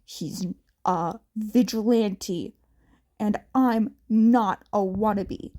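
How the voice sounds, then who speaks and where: An angry teenage boy hero intern acted by a woman growls his lines in a gruff, lowered voice. He is abrasive and combative, in a dramatized story. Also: drawn-out words, dark tone. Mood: growling, irritated. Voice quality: deeper, gruff.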